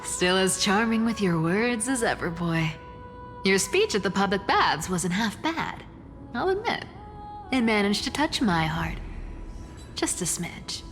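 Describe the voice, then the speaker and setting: A woman's voice: mid-range voice, big pitch swings, a little slow, a bit bright. A woman playing a sly, teasing trickster demigod in a game, with a playful drawl. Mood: playful, amused. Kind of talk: scripted game drama acting. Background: music.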